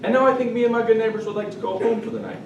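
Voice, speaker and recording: A man playing a stern, brisk gentleman doctor. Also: extremely high pitch, steady tone, highs cut off. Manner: clipped, commanding, formal. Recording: echoey room, far mic, noisy.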